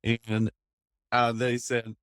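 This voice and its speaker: A man from Texas, a podcast guest, telling stories in a casual, enthusiastic and expressive way. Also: medium pitch, drawn-out words, no echo, clean studio sound. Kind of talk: casual interview storytelling.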